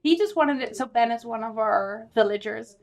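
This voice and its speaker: A woman's voice: a little high, moderate pace. A woman in her thirties from Italy, a mother of three, chatting as a guest in a casual group podcast and laughing easily.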